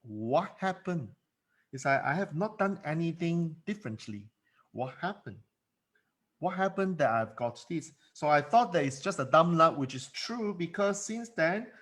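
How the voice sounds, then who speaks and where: A man's voice: a little high, a bit bright. A man coaching in a solo monologue, emphatic and given to repeating words, speaking English as a second language. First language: not English. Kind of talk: motivational monologue.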